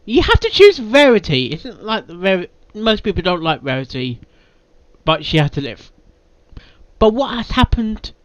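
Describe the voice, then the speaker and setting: An excitable reactor talks off the cuff in a mid-pitched voice that swings widely up and down. The delivery is casual and halting, with words often repeated, and the sound is close and dry. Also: no echo, dull audio. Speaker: reaction video maker reviewing a fan fiction reading. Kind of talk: casual, unscripted reaction commentary.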